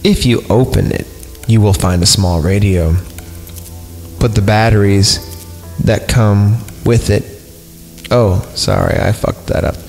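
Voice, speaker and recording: Low-voiced, gravelly man in heavy reverb. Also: measured pace, long pauses. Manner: sweary, goofy, breaks off to ad-lib and mock the text. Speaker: podcast host. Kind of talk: irreverent horror story reading with joking asides. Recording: long reverb, loud music behind. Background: eerie film score music.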